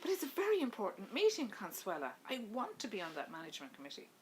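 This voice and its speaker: Woman narrator as self-important husband, exasperated, insistent, clipped, audiobook dialogue, swooping pitch, faint noise. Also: huge pitch swings, fast talker.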